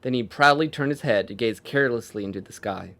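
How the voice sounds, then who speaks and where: A man's voice: mid-range voice, quick pace, fairly bright tone. A man reading a fantasy story aloud as its narrator.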